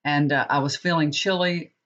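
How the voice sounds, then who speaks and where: A woman's voice: sits low, fairly even intonation, moderate pace, fairly bright tone. A middle-aged woman, stuffy and sniffling from a cold, chatting casually and rambling to her viewers. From Texas. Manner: chatty, rambling, with many restarts. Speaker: tarot reader. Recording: dull lo-fi recording.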